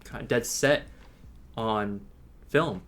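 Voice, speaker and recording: A man's voice: on the low side, slow, fairly bright tone. A young man co-hosting a casual podcast, joking around and asking long, rambling questions. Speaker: podcast co-host.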